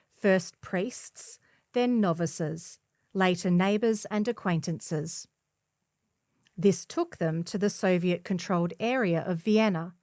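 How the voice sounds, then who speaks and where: A woman's voice: lowish voice, a little mellow, no echo, studio quality. A woman reading a scripted feature article as a volunteer newspaper narrator. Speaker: volunteer reader of a community newspaper.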